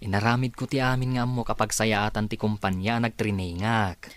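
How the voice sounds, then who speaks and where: An actor with a Filipino accent playing a self-assured, casual young engineer in a radio drama. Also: male voice, fairly low pitch, steady tone, fairly quick, no room sound, close to an everyday voice. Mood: confident.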